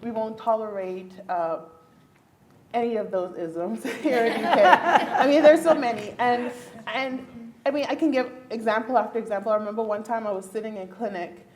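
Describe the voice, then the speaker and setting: A woman's voice: fairly high pitch, normal speed, crowd noise. A woman from the Bahamas, a neurologist, speaking earnestly on a panel at a luncheon and laughing now and then. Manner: earnest, emphatic, laughs often. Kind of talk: panel discussion answer. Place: luncheon event hall.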